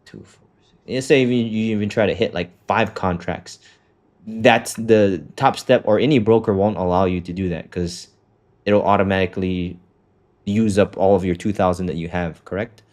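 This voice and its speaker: A fairly low-voiced man talks a student through a trading platform step by step. His tone is casual and loose, full of fillers, with the occasional swear dropped in. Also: fairly even intonation, moderate pace, darkish tone. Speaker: trading coach. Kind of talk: casual walkthrough on a call.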